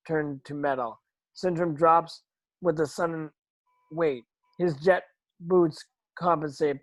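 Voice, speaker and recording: A man reading stage directions at an amateur table read, halting and stumbling over words as he narrates. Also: a little high, dry recording, low-quality recording.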